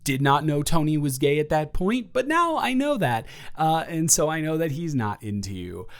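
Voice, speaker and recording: A man playing a flustered, goofy roommate confessing love to a friend, rambling casually and haltingly in an improvised audio roleplay. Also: fairly high pitch, clean tone. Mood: animated, flustered. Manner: halting, stammering, animated, jokey.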